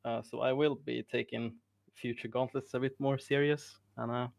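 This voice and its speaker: A man's voice: medium pitch, fairly even intonation, moderate pace, creaky, dry recording, clean studio sound. A Swedish man with a Swedish accent, modestly answering interview questions in a casual chat and laughing often. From Sweden. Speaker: interviewed tournament winner.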